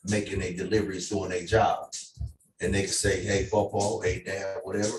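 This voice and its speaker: A man's voice: deep voice, level tone, normal speed, far from the mic, a little hiss or hum. A middle-aged man from Ohio, raised in Los Angeles, chatting as a guest in a cigar lounge, earnest and repeating himself. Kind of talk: casual chat. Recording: roomy echo, off-mic, music behind.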